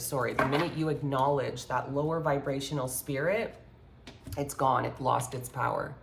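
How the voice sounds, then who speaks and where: A woman with a congested, slightly hoarse voice giving a rambling, earnest spiritual talk with many fillers while doing a card reading at her home altar. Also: rumbling low, background noise. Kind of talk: casual spiritual talk to viewers. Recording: echoey room, faint ambience.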